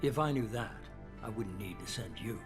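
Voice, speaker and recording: An actor playing a calculating, self-assured leader of a secretive organization, speaking in a measured, persuasive way in a video game. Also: male voice, on the low side, expressive, lightly acted. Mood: assured, commanding. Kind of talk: video game cutscene acting. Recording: music behind the voice.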